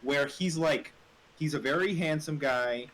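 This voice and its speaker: A man in casual, opinionated conversation, rambling in an animated way with frequent filler words and some swearing. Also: fairly high pitch, measured pace. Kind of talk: casual chat, opinionated film talk. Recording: noisy room with keyboard clatter.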